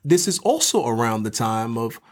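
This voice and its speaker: A man's voice: medium pitch, big pitch swings, moderate pace. A man co-hosting a literary podcast, reflective and scholarly but colloquial in his phrasing. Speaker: podcast co-host and professor.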